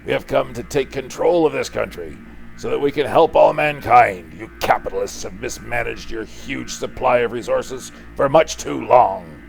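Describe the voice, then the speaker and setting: A man's voice: medium pitch, normal speed, vocal fry, soft background music. A man voicing a cold, zealous enemy commander, declaiming with menace in a comic book reading. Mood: preachy.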